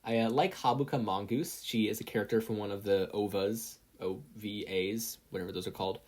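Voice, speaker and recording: A woman's voice: rumbling low, steady tone, brisk, a little mellow. A woman narrating a scripted fan-fiction story as a podfic reader.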